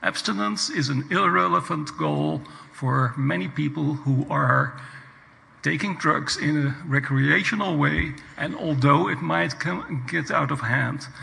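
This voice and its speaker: A man making a deliberate, halting comment from a floor microphone at a conference. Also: on the high side, gravelly, sharp tone, long reverb tail. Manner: deliberate, halting speech with pauses. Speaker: audience member at a conference. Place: large conference hall.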